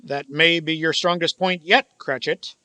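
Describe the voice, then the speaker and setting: A man's voice: fairly high pitch, steady tone, unhurried, pushed and bright, smooth, no room sound. An actor playing a cold, miserly old businessman in a radio play, speaking in a formal, sarcastic, condescending way. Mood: cold, stern. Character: cold, miserly old male business owner, scornful of Christmas. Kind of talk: theatrical radio play acting.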